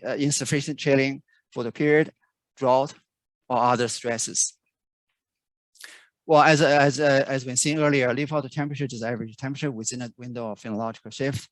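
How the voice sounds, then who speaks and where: Halting man giving a research talk. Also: medium pitch, no echo, poor audio. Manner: halting, many fillers and restarts. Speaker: researcher presenting. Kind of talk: conference talk. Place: remote video call.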